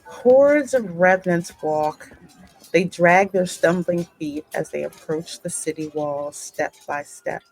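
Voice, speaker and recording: A woman hosting a game playthrough, reading the story in a deliberate, dramatic way with casual asides. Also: on the low side, darkish tone, soft background music, low-quality recording. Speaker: gaming channel host and narrator.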